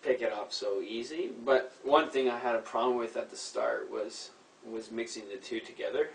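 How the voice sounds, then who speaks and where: A man's voice: mid-range voice, steady tone, moderate pace, vocal fry, recorded from a distance. A young man from Canada chatting casually on a living room sofa, explaining at length with frequent fillers and restarts. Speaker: guest. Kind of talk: casual chat. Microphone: far camera. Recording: echoey room, from afar, faint hiss.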